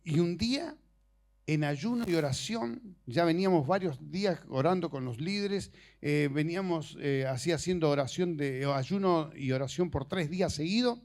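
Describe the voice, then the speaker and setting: A middle-aged male preacher with a notably high-pitched voice, a native speaker of Portuguese. He delivers an informal sermon built on personal stories, talking conversationally and haltingly, with joking asides. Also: lively intonation, darkish tone. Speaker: preacher and missionary.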